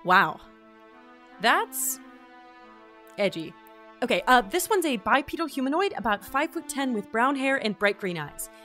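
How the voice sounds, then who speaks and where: An actress playing an awkward, wry visitor from another world in a fantasy audio drama, casual and hesitant. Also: female voice, high-pitched, big pitch swings, a little slow, pauses often, pushed and bright, faint music behind, heavily acted. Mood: wry, observing. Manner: casual, hesitant, dryly sarcastic. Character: awkward, wry, easily amazed otherworldly traveler. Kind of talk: fantasy audio drama acting with mission-log asides.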